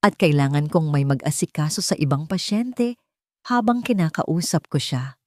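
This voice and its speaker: A woman's voice: sits low, normal speed, dark tone, dry recording, studio quality, natural voice. A woman voicing a capable young female nurse who speaks politely but firmly. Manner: polite, firm and urgent.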